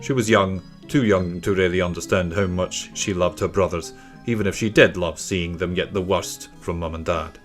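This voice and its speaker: Guy reading a kids audiobook, fairly low voice, soft music in the background. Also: steady tone, normal speed. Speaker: narrator. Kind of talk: scripted children's story narration. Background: faint music.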